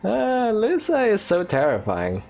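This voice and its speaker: A woman's voice: medium pitch, wildly swooping pitch, a little slow, creaky, darkish tone. A woman gamer giving casual, reactive let's-play commentary. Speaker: gaming YouTuber.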